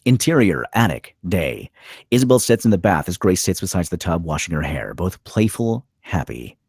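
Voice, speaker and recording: Deep, husky male screenplay narrator. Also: sing-song, moderate pace, darkish tone, no room sound, studio quality. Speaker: stage directions reader.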